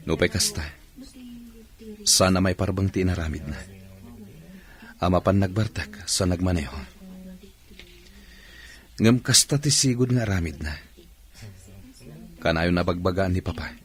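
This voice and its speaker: A man acting a sober, newly widowed husband in a Filipino radio drama, with a Filipino accent. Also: low-pitched.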